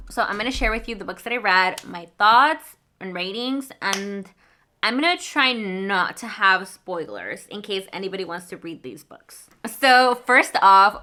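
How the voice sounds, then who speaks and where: A woman's voice: mid-range voice, sharp tone, slight background noise. A young woman from Mexico whose first language is Spanish, chatting casually through a book review with lots of fillers and asides. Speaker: book vlogger.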